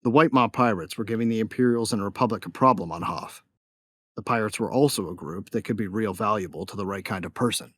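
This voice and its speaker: A man's voice: fairly low pitch, quick pace, no room sound, studio quality, close to an everyday voice. A man playing a young bounty hunter in a sci-fi audio drama, telling his story in a wry, laid-back, cocky way. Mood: wry narration. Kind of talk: first-person audio drama narration.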